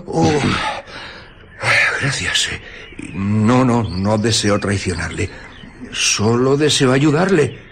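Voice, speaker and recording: Un actor interpretando a un vagabundo asustado, desconcertado y sumiso, titubeante y suplicante, en un radioteatro. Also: male voice, medium pitch, animated, takes it slowly, long pauses, slight background noise. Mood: frightened, pleading. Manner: hesitant, pleading. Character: frightened, bewildered, submissive male vagrant. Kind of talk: radio drama acting.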